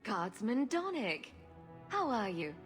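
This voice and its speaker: An actress playing a wry, confident female adventurer in a video game, brisk and breezy. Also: fairly high pitch, sing-song, soft background music. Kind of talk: video game character acting.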